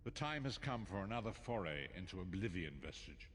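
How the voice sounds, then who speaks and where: Deep, solemn old prophet, reverberant. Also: male voice, very animated, a bit bright, breathy edge, full character voice. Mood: grave, solemn. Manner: formal, measured, portentous. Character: solemn older male prophet mentor, grave and wise. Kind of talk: fantasy video game voice acting. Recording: long reverb, some background noise.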